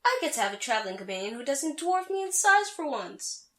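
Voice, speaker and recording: Haughty, high-voiced anime sorceress, echoey. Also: female voice, sing-song, brisk, fairly bright tone. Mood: smug, taunting. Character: vain, haughty young female sorceress rival from an anime. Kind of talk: comedic anime fan audio drama acting.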